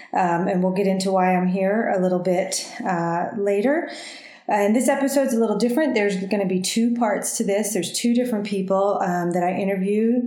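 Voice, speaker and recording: A woman's voice: medium pitch, mellow. A woman hosting a podcast, chatting in an upbeat, encouraging way with frequent ums. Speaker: podcast host. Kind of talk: casual interview. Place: language school. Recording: echoey room.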